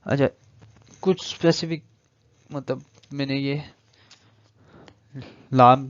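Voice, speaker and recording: A man's voice: medium pitch, muffled recording. A man with a Pakistani accent giving an explanatory law lecture, mixing in English legal terms and reading passages aloud before paraphrasing them.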